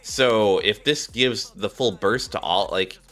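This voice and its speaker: A man's voice: lowish voice, slow, fairly bright tone. A man giving casual gameplay commentary as he streams a game. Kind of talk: casual gameplay commentary with read-aloud dialogue.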